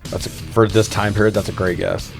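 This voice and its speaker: Low-voiced man in casual sweary chat. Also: little pitch movement, a little fast, creaky, soft background music. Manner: sweary, incredulous riffing. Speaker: podcast co-host. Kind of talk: casual comedic film recap and chat.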